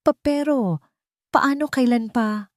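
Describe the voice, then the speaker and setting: Casting: female narrator as shy tearful maid, deferential, halting speech, lifted pitch, dry studio sound. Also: darkish tone, dry recording. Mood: stunned, halting. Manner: hesitant, halting, stammering. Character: timid, tearful young housemaid, deferential to her employer. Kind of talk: dramatic romance audio story.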